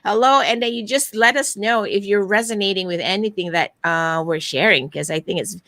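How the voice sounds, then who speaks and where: A woman's voice: mid-range voice, a little fast, dry recording, studio quality. A middle-aged woman from Malaysia, talking animatedly as a guest on a video call interview and often tagging her points with "right?". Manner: animated storyteller, frequent "right?" tags. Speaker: guest, virtual event producer. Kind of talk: casual interview chat.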